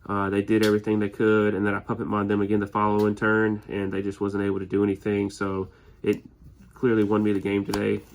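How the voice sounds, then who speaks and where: A man's voice: on the low side, monotone, quick pace, gravelly, slight background noise. A man with a slight head cold, sniffling a little as he casually walks through his winning card game deck with plenty of fillers and restarts. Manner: easygoing, many fillers and restarts. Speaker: tournament winner presenting his deck.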